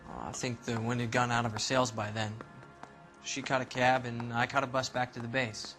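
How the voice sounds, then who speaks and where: A brash young sailor in a 1940s crime game, acted by a man. He has a mid-range voice and answers questions defensively, in blunt slang. Music is clearly heard under his words. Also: medium pitch, flat delivery, normal speed, lightly acted. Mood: guarded. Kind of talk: video game cutscene acting.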